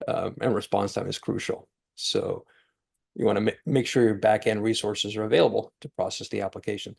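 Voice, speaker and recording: A man hosting a webinar who asks questions in a halting way, with many ums and uhs. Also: on the low side, fairly even intonation, vocal fry, darkish tone, husky. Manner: halting, filler-heavy questioning. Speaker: webinar host.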